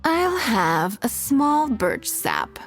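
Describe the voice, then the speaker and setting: A woman's voice: on the high side, huge pitch swings, extremely slow, faint music behind, full character voice. An actress playing a refined, coolly teasing lady in a video game, with an elegant, composed manner.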